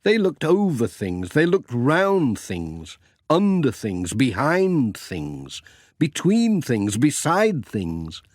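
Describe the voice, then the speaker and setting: A man tells a children's story in an expressive, measured way. His mid-pitched voice moves up and down freely at a slightly slow pace, recorded close and dry. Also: dry recording. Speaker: audiobook narrator.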